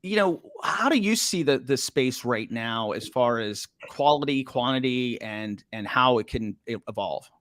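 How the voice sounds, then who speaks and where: A man's voice: mid-range voice, expressive, a little slow, few pauses, fairly bright tone, dry recording, studio quality. A middle-aged man hosting a podcast interview, asking rambling questions with many restarts. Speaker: podcast host. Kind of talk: casual interview talk.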